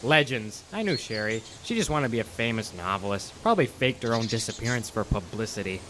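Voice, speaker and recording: A man's voice: medium pitch, expressive, brisk, sharp tone, a little hiss or hum, low-quality recording. A man acting a cocky, dismissive, joking repairman in an audio horror drama.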